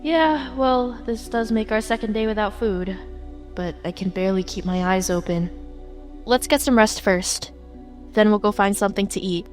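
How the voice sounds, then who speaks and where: A woman's voice: mid-range voice, normal speed, soft background music, lightly acted. Woman acting a worried young female group leader. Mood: tired, worried. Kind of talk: webtoon fan dub voice acting.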